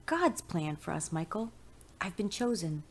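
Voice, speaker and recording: A woman voicing a devout, excited and determined wife in an audio story, speaking with insistent enthusiasm. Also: lowish voice, measured pace, exaggerated voice.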